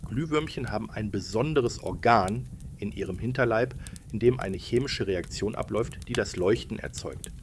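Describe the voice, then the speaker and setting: A man's voice: fairly low pitch, moderate pace, slight background noise. A man narrating a scripted bedtime story for children, explaining things and addressing them directly.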